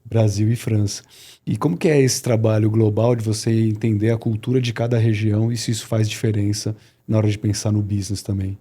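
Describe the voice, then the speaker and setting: A man's voice: lowish voice, little pitch movement, brisk, warm tone, breathy edge. A man hosting a podcast interview in a casual, friendly way, agreeing with his guest and asking questions. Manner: friendly, with agreeing remarks and laughter. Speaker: podcast host.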